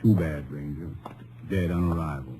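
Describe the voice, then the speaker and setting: Deep, very dark-voiced sober doctor. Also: male voice, moderate pace. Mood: grave. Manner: terse, matter-of-fact. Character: sober, plain-spoken small-town hospital doctor. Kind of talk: old-time radio drama acting. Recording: faintly noisy old radio recording.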